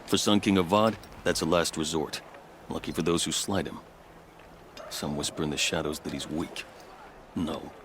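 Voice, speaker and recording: A man playing a composed, devout and loyal fort officer in a video game, speaking in a measured, formal way. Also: rumbling low, steady tone, a bit bright, a little hiss or hum. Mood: earnest, reverent. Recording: music behind the dialogue. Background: music.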